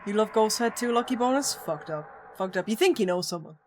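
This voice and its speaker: A woman streamer chatting casually with her viewers during a live stream of a visual novel, animated and reactive. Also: mid-range voice, brisk, slight background noise.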